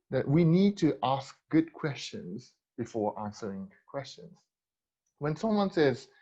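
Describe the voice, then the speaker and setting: A man's voice: medium pitch, a little mellow, highs cut off. Japanese man with a blended accent. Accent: mixed Japanese, American and British. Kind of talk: prepared talk.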